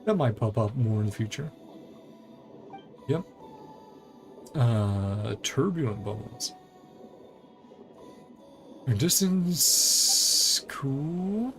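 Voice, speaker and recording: Creaky-voiced man commentating over game music. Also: medium pitch, animated, extremely slow, pauses often, fairly bright tone. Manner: rambling, thinking aloud. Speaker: video game streamer. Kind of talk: casual gameplay commentary. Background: video game music.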